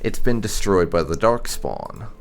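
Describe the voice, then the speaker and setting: A male gaming host with a low, gravelly voice talks casually through a playthrough. His delivery is unhurried but very lively in pitch, often musing aloud over faint background noise. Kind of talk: casual game playthrough commentary.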